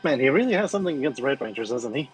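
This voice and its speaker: A man's voice: medium pitch, very animated, very fast. A man playing a tabletop game, chatting casually and narrating what his character does. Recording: music behind the voice.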